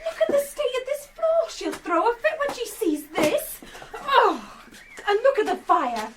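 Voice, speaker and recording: An actress playing an excitable, bossy young village daughter in a radio drama, exclaiming and scolding amid loud scene noise. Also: female voice, very high voice, a little slow, exaggerated voice. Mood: dismayed amusement. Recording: very noisy scene sound.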